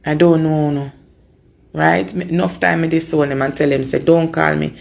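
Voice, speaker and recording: A woman with a Jamaican accent and a hoarse voice, giving an informal, earnest update to supporters and repeating phrases for emphasis. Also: sits low, steady tone, normal speed, darkish tone. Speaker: mother giving an update on her daughter.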